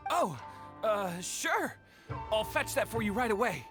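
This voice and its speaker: An actor playing a nervous, eager young servant in a video game, stammering and formal, deeply deferential. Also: male voice, sing-song, a little slow, over music.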